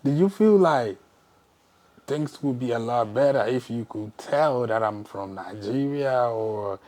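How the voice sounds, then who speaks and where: A man's voice: mid-range voice, lively intonation, measured pace, a little mellow. A man from Ghana hosting an interview, asking probing follow-up questions between short murmurs of agreement. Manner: probing, brief affirming murmurs. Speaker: interview host.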